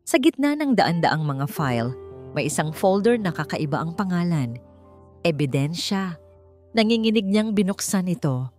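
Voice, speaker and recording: A woman's voice: lowish voice, big pitch swings, normal speed, darkish tone, soft background music. A woman narrating a scripted romance story in a dramatic storytelling style.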